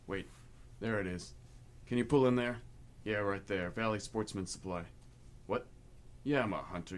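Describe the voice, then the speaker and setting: A man's voice: fairly low pitch, natural voice. A man playing a laid-back, evasive older brother home from overseas in a radio play. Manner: evasive, casual, clipped answers. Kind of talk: stage-style radio play acting.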